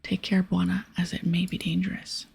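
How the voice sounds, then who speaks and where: Whispering woman, breathy ASMR gamer. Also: fairly low pitch, completely flat delivery, normal speed, darkish tone. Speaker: host of an ASMR gaming series. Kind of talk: ASMR, whispered casual game playthrough with dialogue read aloud.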